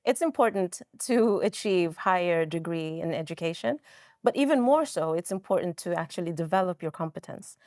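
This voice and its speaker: A woman's voice: on the low side, animated, moderate pace, darkish tone, no echo, studio quality. A woman educator giving earnest, emphatic interview answers.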